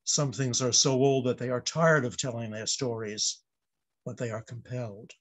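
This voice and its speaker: Older guy in his 70s reading a poem on a video call, measured and reflective, medium pitch. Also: fairly even intonation. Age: older, about 70s. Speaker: poet at an open mic. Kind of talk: poetry reading.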